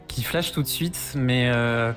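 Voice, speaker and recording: A man chats as a guest on a gaming podcast. His mid-pitched voice explains things casually and keenly, over faint background music. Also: fairly bright tone. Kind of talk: casual podcast chat about retro video games. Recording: music playing behind the voice.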